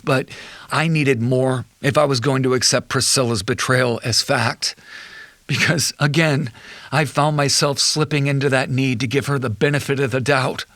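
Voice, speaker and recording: Betrayed husband played by a man, mid-range voice, bitter and measured, audio drama monologue, close dry sound. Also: mid-range voice, fairly bright tone, no room sound, natural voice. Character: betrayed husband, an accountant recounting his wife's affair.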